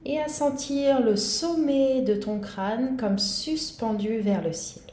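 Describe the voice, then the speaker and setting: A woman guiding a yoga nidra meditation in a calm, gentle, soothing manner. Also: a little high, slow pace, echoey room. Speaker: meditation guide.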